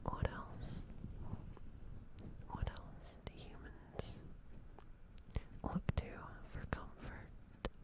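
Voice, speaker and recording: A streamer voicing a gentle, comforting alien in a whispered ASMR roleplay, soothing and a little hesitant and naive. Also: very slow, pauses often, poor audio. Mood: curious, hesitant. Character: gentle, comforting green alien caring for a crashed human.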